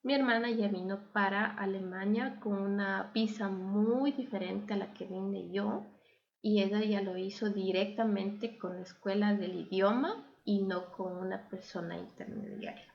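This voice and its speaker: A woman's voice: mid-range voice, steady tone, unhurried, vocal fry, muffled recording. A young woman with an Ecuadorian Spanish accent, telling her own experience conversationally as an interview guest. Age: young adult. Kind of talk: conversational personal storytelling and advice.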